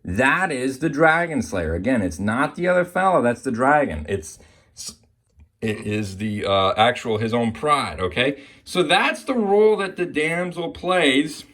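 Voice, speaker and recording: A man's voice: mid-range voice, animated, a little slow, a bit bright. A man giving an informal explanatory lesson as an amateur Bible teacher, often checking in with the listener. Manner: repeatedly checks in with "okay?".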